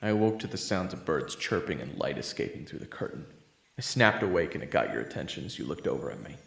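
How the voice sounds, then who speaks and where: Gravelly man in a lively audio roleplay. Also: extremely low pitch, fast talker. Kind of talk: first-person audio roleplay, narrating and speaking to the listener. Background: video game soundtrack music.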